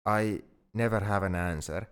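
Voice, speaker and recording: A man as a hesitant, smooth-voiced shy alien. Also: lowish voice, close to an everyday voice. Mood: flustered, distressed. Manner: hesitant, halting, earnest. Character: shy, flustered, self-blaming young male alien confessing feelings. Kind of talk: ASMR roleplay audio drama.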